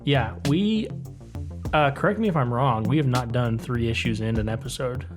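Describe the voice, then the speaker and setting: A man's voice: medium pitch, normal speed, over music. Chatty upbeat man, podcast co-host. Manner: laughs often, upbeat and chatty. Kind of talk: casual chat.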